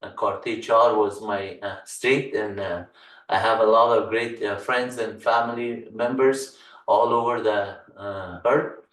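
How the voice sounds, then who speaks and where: Afghan-accented guy teaching linux, lots of uh's, kinda low voice, echoey and set back from the mic. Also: steady tone, fairly bright tone, recorded from a distance. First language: Farsi. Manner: halting, many "uh" fillers. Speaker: Linux course instructor. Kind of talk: screen-share tutorial walkthrough.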